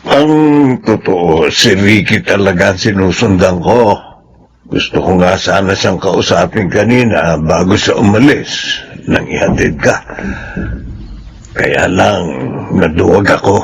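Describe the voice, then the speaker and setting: A man's voice: sits low, measured pace, pauses often, slight background noise. An actor playing a timid, troubled older father in a Filipino radio drama, speaking hesitantly with a Filipino accent. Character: older father, timid and troubled about his pregnant daughter.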